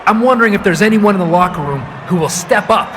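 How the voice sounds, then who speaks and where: A cocky champion wrestler in a video game. His voice is fairly high and self-assured, delivering smug wisecracks over clear background noise. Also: animated, fast talker. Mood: smug, bored.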